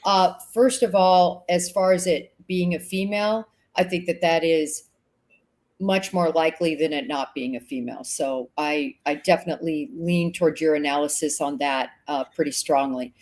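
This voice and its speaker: A woman hosting an interview, responding to her guest in a deliberate way, slowing down to stress key words. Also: deep voice, fairly even intonation, darkish tone. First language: English. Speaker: host. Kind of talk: conversational interview.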